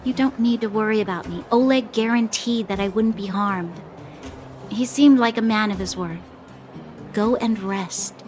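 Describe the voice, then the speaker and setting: A dutiful young female officer played by a woman talks in a mid-pitched voice over clear music. She is composed, earnest and restrained, in a formal video game acting style. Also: moderate pace, darkish tone, close to an everyday voice. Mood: composed, guarded. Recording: prominent background music.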